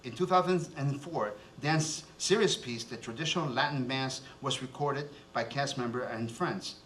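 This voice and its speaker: A man's voice: medium pitch, fairly bright tone, a little hiss or hum. A man with a Cuban-American accent hosting an awards ceremony, teasing the crowd and making fun of himself. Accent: Cuban-American, raised in New Jersey. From Cuba. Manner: teasing, self-mocking, quick jokes. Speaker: host and emcee. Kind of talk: joking emcee speech at an awards ceremony. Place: stage in an event hall. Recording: roomy hall, mic heard from far off.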